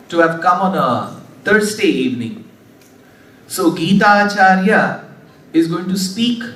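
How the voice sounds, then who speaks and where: High-voiced man in an echoey hall. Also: a bit bright. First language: Tamil. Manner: slow, measured, formal with scriptural terms. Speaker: religious discourse speaker. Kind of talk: spiritual discourse in a hall. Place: large hall before an audience. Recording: echoey hall, distant mic, faint hiss.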